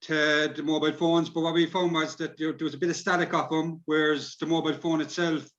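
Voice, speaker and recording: Dull-sounding Irish man, slightly high voice. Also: flat delivery, a little fast, fairly bright tone. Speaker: workshop speaker advising on recording. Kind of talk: casual advice in an online discussion. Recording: dull lo-fi call audio.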